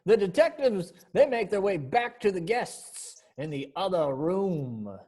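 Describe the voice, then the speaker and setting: A woman's voice: fairly low pitch, lively intonation, measured pace, a crowd in the background. A woman narrating and hosting a live event, addressing the audience in an exclamatory, emphatic way. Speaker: narrator and host. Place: indoors on a virtual event call. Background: keyboard music.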